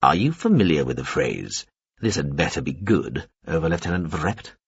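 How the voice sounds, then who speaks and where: A man's voice: a bass voice, sing-song, normal speed, breathy edge, dry recording, highs cut off, pitched below the performer's own voice, heavily acted. A man voicing an arrogant, powerful rich man who gives curt commands in an audiobook. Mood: commanding.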